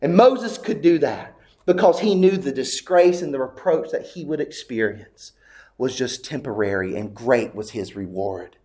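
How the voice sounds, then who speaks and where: A man's voice: medium pitch, lively intonation, normal speed, a little mellow. A man preaching a sermon, building urgency with repeated rhetorical questions. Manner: preaching with rising intensity, repetitive rhetorical questions. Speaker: pastor.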